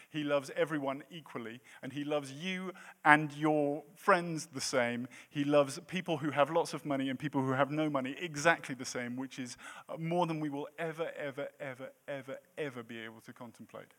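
A man's voice: fairly high pitch, normal speed, hardly any pauses. A man in his late thirties preaching a sermon in a church, with a self-deprecating, jokey manner between points. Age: 30s. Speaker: pastor.

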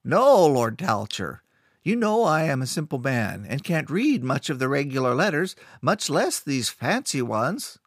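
A man's voice: mid-range voice, very animated, a little slow, no room sound, studio quality, voice pushed up. A man voicing a frightened, simple male caretaker who stammers and pleads breathlessly. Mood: timid.

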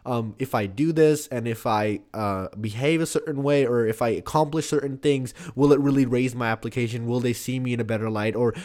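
A man's voice: medium pitch, fairly quick, few pauses, a little mellow. A young man, a student applying to college, talking casually and reflectively with lots of "like" and "you know". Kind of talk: casual conversation.

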